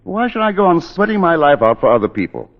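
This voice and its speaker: An actor playing an impulsive, insistent husband in a 1940s radio drama, emphatic and impatient. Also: male voice, on the high side, sing-song, fast. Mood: eager, hopeful. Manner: emphatic, impatient, endearments to his wife. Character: impulsive, insistent husband brimming with enthusiasm, later aghast. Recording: dull 1940s radio broadcast, long reverb.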